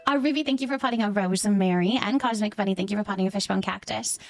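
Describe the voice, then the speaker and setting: A woman's voice: mid-range voice, quick pace, faint music behind. A woman livestreamer chatting casually with her viewers, effusive and affectionate, often repeating words and short phrases as she thanks them. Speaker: live streamer hosting a chat.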